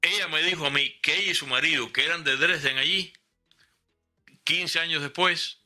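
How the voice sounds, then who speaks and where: A man's voice: a little high, moderate pace, sharp tone, faint music behind. An older Cuban man with a Cuban accent, telling a rambling personal story in casual conversation, often restarting his sentences. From Cuba. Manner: rambling anecdotes with many restarts. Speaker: guest.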